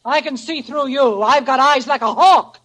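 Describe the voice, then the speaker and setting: A woman playing a vain, sharp-tongued wife in old-time radio comedy, theatrical and easily indignant. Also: high-pitched, normal speed. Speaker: comic supporting actress. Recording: faintly noisy old radio recording.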